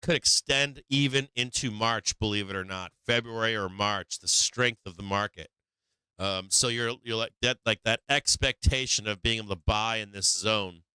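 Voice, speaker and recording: Bright, clear-voiced man hunting for words. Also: on the low side, no room sound, low-quality recording. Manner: halting, searching for words, frequent restarts. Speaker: host of a stock market livestream. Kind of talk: casual live chart commentary.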